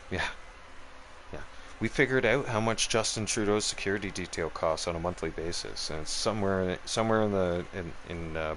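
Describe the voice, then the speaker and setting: Deep-voiced, foul-mouthed man ranting. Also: fairly bright tone, slight background noise, rough recording. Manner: profane, heated, keeps checking in with "right?" and "okay?". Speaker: live streamer. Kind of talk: angry political rant on a live stream.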